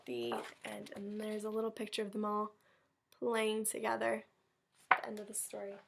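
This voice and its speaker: A woman's voice: medium pitch, normal speed, creaky, very noisy. A woman teacher reading a story aloud to a class, adding casual asides and simple explanations for children.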